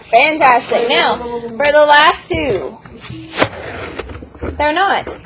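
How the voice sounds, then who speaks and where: A woman teaching math to a classroom, brisk and teasing as she walks students through problems. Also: high voice, over music. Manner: brisk, teasing, talks over a noisy class. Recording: noisy classroom chatter. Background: students chattering.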